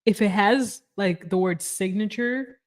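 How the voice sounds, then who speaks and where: A woman's voice: medium pitch, measured pace. Chatty woman with a Colombian accent. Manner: chatty, lots of "like". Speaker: co-host. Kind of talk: casual reaction chat.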